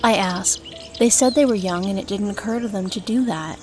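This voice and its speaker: A woman's voice: medium pitch, moderate pace, music in the background, close to an everyday voice. A woman playing a caring, practical elf mother, earnestly explaining, in a fantasy audio drama.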